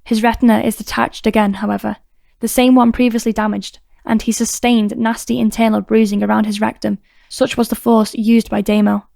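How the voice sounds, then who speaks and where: Narrator, female: scripted crime audiobook reading, mellow tone, slightly high register, steady. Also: brisk, gravelly, no room sound.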